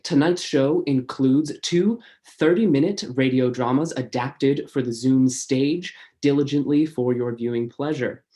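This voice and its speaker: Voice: mid-range voice, mellow. A host giving a scripted welcome announcement for a live online theater stream. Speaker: host introducing a live show. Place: online video-call stream.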